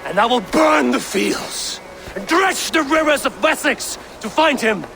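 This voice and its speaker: A fierce Viking chieftain. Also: male voice, extremely high pitch, expressive, a little slow, gravelly, bright, forceful tone, heavily acted. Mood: rallying. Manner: solemn, commanding, oath-swearing. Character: grim male Viking warrior chieftain, proud, fierce. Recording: game audio with noisy background.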